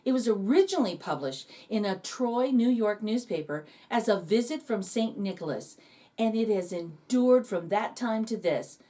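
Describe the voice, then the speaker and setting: A woman reading a children's poem aloud in an expressive, dramatic storytelling manner. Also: mid-range voice, moderate pace. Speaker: children's story reader and host. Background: background music.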